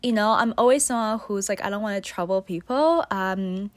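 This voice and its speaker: Casual woman storyteller from Taiwan, medium pitch. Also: brisk. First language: Mandarin. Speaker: interview guest, nutritionist.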